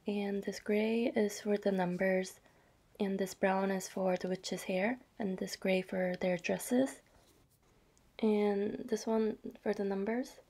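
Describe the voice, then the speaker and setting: A woman vlogger narrating her craft projects in a soft, chatty way. She is slightly hoarse from a cold and adds small apologies and fillers. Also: medium pitch. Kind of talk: casual show-and-tell narration.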